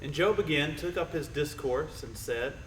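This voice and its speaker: A man narrates an amateur Bible drama from a script. He sounds far from the microphone in an echoey room, with a slightly high voice. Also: normal speed. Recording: distant, echoey room, faint noise.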